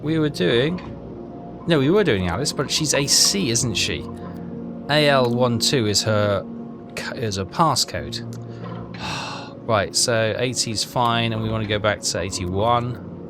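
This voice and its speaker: A man's voice: mid-range voice, expressive, a little slow, long pauses. A man giving casual game commentary and reading text aloud, hesitant and repeating himself as he thinks aloud. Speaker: let's player. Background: game music.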